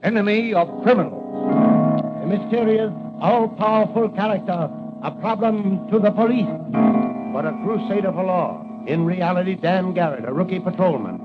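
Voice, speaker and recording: A man's voice: sits high, animated, moderate pace. A man narrating the opening of a 1940s radio serial in a grandiose, declamatory style, on an old recording. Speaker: announcer. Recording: thin, phone-like old radio, loud music.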